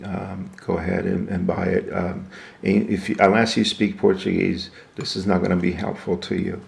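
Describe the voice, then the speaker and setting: A man's voice: sits low, creaky, darkish tone. A man giving a casual, unscripted walkthrough of equipment as a reviewer, explaining things step by step. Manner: explanatory, step by step, asks and answers own questions. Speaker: product reviewer.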